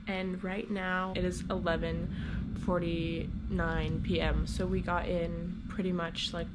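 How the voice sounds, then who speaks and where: A woman's voice: on the low side, background noise. A young woman flight attendant with a cold, chatting casually to camera in hotel rooms on her layovers, her voice stuffy and congested. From United States. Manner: chatty, filler-heavy recap.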